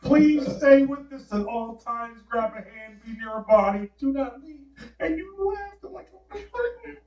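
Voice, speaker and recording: A man, co-hosting a podcast and telling stories in a lively way, acting out what people said. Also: extremely high pitch, unhurried, muffled recording. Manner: animated storyteller acting out quotes. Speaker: podcast co-host. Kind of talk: casual storytelling chat. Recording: roomy, slightly distant mic.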